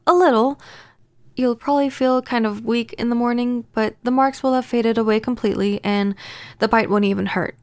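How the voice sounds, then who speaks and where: A woman playing a pining, possessive vampire neighbor in a soft-spoken, intimate ASMR roleplay, gentle and halting. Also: fairly high pitch, no echo, close to an everyday voice. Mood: nervous, reassuring.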